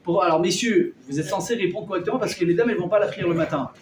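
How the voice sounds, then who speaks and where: Animated rabbi with a high voice. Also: male voice, racing, crowd noise. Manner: animated, jokey, quizzes the audience. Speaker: rabbi giving a class. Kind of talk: lively Torah class with audience. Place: hall with a live audience. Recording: echoey room, mic at a distance.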